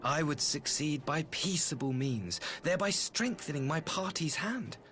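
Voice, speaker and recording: Courteous, calculating ambassador, higher-voiced and animated. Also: male voice, a little slow, slight background noise. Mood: polite, calculating. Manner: formal, eloquent, theatrical, archaic diction. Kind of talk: scripted video game voice acting. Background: background music.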